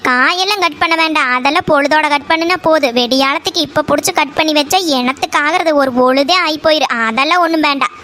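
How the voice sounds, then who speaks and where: A woman's voice: high-pitched, fast talker, sharp tone. A woman playing an earnest, firm village mess owner in a family drama, speaking plainly and insistently with a Kongu Tamil accent. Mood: firm, matter-of-fact. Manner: insistent, plain-spoken, questioning.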